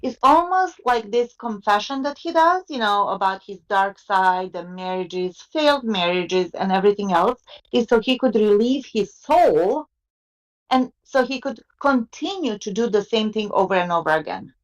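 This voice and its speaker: A woman's voice: mid-range voice, animated, moderate pace, rough recording. A woman co-hosting a podcast, reasoning through her views and asking others to agree, and at times reading a book passage aloud. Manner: analytical, asks for agreement. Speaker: podcast co-host and reader. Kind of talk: casual discussion and reading aloud.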